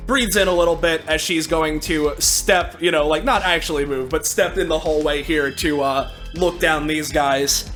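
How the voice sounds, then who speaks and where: Higher-voiced man playing a tabletop game. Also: fairly even intonation, fairly bright tone. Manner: halting, conversational. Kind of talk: casual improvised narration of character actions. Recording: music playing behind the voice. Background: background music.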